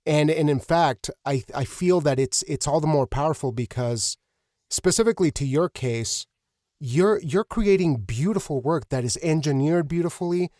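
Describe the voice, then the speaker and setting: A man hosting a conversational interview, speaking earnestly and haltingly, often repeating words as he thinks. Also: on the high side, darkish tone, dry recording, studio quality. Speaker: podcast host.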